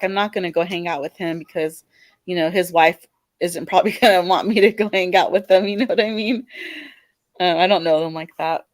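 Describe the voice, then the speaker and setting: A woman's voice: lowish voice, clean tone, no echo. Hesitant Californian woman caller. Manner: hesitant, many restarts and fillers. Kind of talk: live call-in debate.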